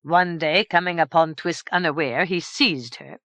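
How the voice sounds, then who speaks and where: A woman voicing a stately, grave fairy king who speaks in a measured, formal way. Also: sits low, a bit bright, no echo.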